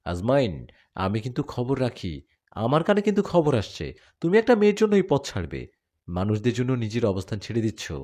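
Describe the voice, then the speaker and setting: A man's voice: mid-range voice, huge pitch swings, fairly quick, dark tone. A man voicing a stern male jinn chieftain in a spoken story.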